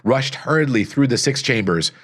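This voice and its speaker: A man reading a gothic story aloud as scripted narration, with solemn, dramatic, deliberate phrasing. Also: medium pitch, lively intonation, fairly bright tone. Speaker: audiobook narrator.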